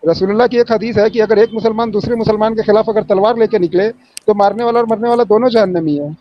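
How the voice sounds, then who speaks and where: A man's voice: sits high, steady tone, quick pace. A man pressing his argument in an online debate, insistent and quoting scripture. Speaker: debate panelist. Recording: dull lo-fi line.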